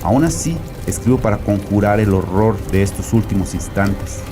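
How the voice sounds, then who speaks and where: An actor playing a tormented, guilt-ridden religious father, narrating a horror audio drama gravely and deliberately. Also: lowish voice, natural voice. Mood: desperate, doomed. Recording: voice over prominent music.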